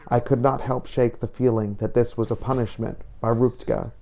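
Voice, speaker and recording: A man voicing a solemn, devout warrior who hunts the undead, narrating a game journal in measured, formal words. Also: mid-range voice, steady tone, gravelly, lo-fi sound, lightly acted. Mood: solemn, brooding. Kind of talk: in-character journal narration over a video game. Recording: noticeable background noise.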